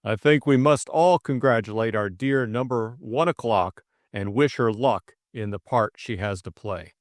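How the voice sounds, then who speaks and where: A man voicing a cool, commanding Russian conspirator in a measured, formal way, in an audiobook drama. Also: mid-range voice, no echo, studio quality, natural voice. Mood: businesslike, commanding.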